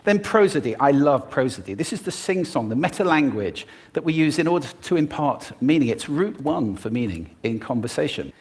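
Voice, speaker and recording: A man's voice: mid-range voice, a little mellow, slightly rough. A man giving a prepared stage talk, demonstrating voice techniques expressively as he goes. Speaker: public speaker.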